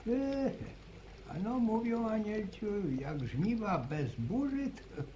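An actor playing a gentle, folksy old farm grandfather who stammers and hesitates, in a vintage radio drama. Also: sits high, animated, slow, highs cut off, a little hiss or hum. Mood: mild. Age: older. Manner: hesitant, stammering, saying-quoting. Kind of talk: 1950s radio drama acting.

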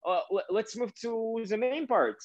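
Young man, halting video call talk. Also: very high-pitched, a loud crowd. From Kazakhstan. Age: young adult. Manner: halting, many fillers and restarts. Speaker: host. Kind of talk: casual cooking and dance chat on a video call. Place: home kitchen. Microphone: laptop webcam on a video call. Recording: muffled video call audio.

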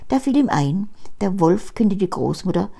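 An elderly woman, reading a fairy tale aloud as an expressive grandmotherly storyteller. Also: medium pitch, normal speed, vocal fry, slightly rough. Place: small book-filled living room. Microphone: lapel mic.

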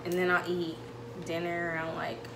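A woman's voice: lowish voice, completely flat delivery, normal speed. A young woman chatting informally to camera in a casual vlog, in her small home kitchen. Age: young adult. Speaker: college student vlogger. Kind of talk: casual vlog chat, showing groceries. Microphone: camera a couple of metres away. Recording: echoey room with background noise.

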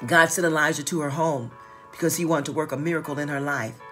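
Low-voiced woman pastor with a slight stutter. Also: fast talker, a bit bright, soft background music. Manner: emphatic, repeats phrases. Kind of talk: informal livestreamed sermon. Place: small wood-paneled office.

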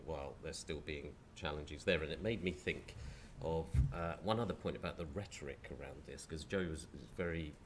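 A man with a cold, chairing a panel discussion in a lecture hall, speaking in a croaky, hesitant, measured way. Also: rumbling low, a little hiss or hum.

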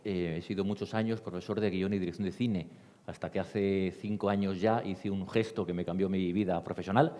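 A middle-aged man with a low voice presents informally to a panel event. The recording has the long reverb of a hall. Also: fairly even intonation, a little fast, mellow. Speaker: presenter, creative director. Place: dark small theatre stage, panel table.

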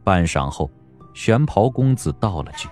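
A man narrating a period audio drama in a measured, literary storytelling style. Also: sits low, very animated, darkish tone, faint music behind.

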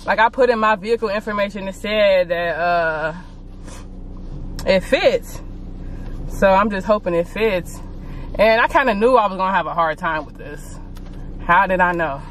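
A young American woman, a beauty vlogger, chatting casually to camera in a playful, slangy, expressive way. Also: mid-range voice, moderate pace, long pauses. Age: young adult. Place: inside a car. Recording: car cabin noise.

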